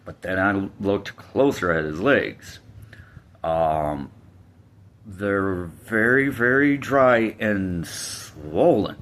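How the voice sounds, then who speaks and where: A man with a deep, low-set voice recounts a personal story in a casual, unhurried way. He hesitates often and restarts phrases, filling pauses as he goes. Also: animated, a bit bright.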